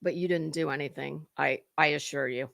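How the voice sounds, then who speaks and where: A woman's voice: low voice, fairly even intonation, a little fast, vocal fry, a bit bright, dry recording. A woman hosting a livestream, chatting casually with viewers in a rambling, informal way. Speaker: livestream host.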